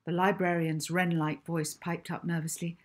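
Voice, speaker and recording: A middle-aged woman reading a children's story aloud as its author, in a measured, deliberate way. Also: fairly low pitch, little pitch movement. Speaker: author reading own book. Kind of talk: children's audiobook narration.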